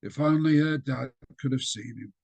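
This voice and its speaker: A man reading an extract of his own fiction aloud over a video call, with a steady storytelling delivery and small slips. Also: mid-range voice, moderate pace, creaky, slightly rough, dry recording.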